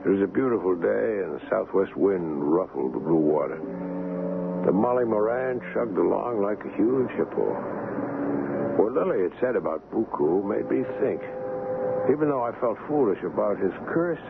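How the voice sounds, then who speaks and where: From a noisy old radio broadcast, a doubting ship's doctor. His low voice moves expressively with a gravelly edge, earnest and concerned. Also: lively intonation, normal speed, narrow, tinny audio. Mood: reflective, skeptical. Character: skeptical, concerned young ship's doctor, recalling his voyage. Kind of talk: radio drama acting with first-person narration.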